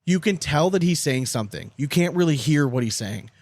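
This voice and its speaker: A man's voice: a little high, lively intonation, brisk, a little mellow, dry recording. A man hosting a sports podcast, chatting casually in an informal, slangy, conversational way. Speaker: podcast host.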